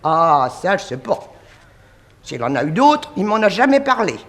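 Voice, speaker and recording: An actress playing a devoted old French housekeeper, folksy, chatty and sentimental, in a 1960s radio serial. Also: female voice, lowish voice, sing-song, moderate pace. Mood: confiding, fond. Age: older. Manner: folksy, garrulous working-class servant speech.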